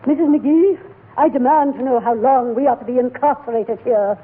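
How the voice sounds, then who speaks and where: Pompous society matron, very high and formal, indignant, on thin, hissy 1940s radio. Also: female voice, moderate pace, breathy edge. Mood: haughty demanding. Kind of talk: 1940s radio comedy acting. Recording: tinny, faintly noisy 1940s radio recording.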